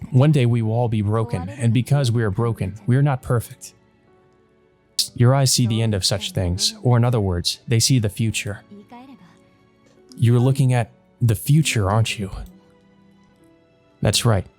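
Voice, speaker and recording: A man reading a calm, confident woman wizard and mentor in a visual novel, in an instructive, reassuring way. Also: mid-range voice, a little slow, long pauses, soft background music, lightly acted. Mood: calm instructing.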